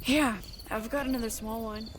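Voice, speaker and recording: A woman acting in an audio drama as a gentle, hopeful young traveler with a hesitant, earnest way of talking. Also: on the high side, fairly even intonation, moderate pace, a little hiss or hum, natural voice.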